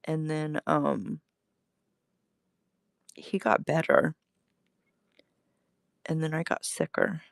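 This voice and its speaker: Slow, gravelly-voiced woman crafter. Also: low voice, dry recording, studio quality. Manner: chatty, greets viewers while demonstrating. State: recovering from illness, gravelly throat. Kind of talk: casual live crafting stream. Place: home crafting space.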